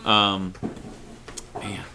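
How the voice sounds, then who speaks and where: A man co-hosting an online show, chatting casually and off the cuff in a jokey, animated way. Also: fairly low pitch, very slow, slight background noise. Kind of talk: casual unscripted discussion.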